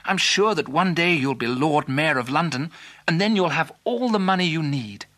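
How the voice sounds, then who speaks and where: A man voicing a kind, loving young woman in a children's story, gentle and encouraging. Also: fairly high pitch, lively intonation, normal speed, dry recording, pitched above the performer's own voice. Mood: consoling.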